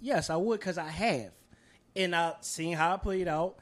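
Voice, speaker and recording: A man's voice: high voice, measured pace. A man co-hosting a podcast, debating casually and emphatically, often repeating himself to press his point. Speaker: podcast co-host.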